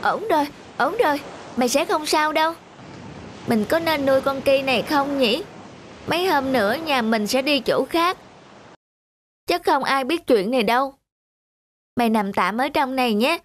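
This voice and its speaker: A woman voicing an earnest, timid, affectionate young boy in a cartoon, in a soft-hearted, hesitant manner. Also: high-pitched, lively intonation, moderate pace, pauses often, slight background noise. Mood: tender, pleading. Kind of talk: Vietnamese cartoon dubbing.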